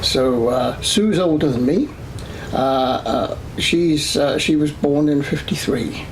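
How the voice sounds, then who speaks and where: Older man, english accent, slightly high voice, measured and pausing, faint background hiss. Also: poor audio. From Scotland, raised in England. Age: 60s. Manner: measured, frequent "uh" pauses. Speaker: interviewee. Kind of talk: oral history interview. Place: his home.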